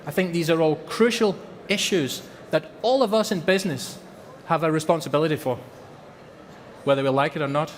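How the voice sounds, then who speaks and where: A man's voice: a little high, brisk, in a large hall, a little hiss or hum. A Scottish man giving an earnest presentation at a trade fair, repeating words for emphasis. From Scotland. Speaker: company presenter. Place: large exhibition hall.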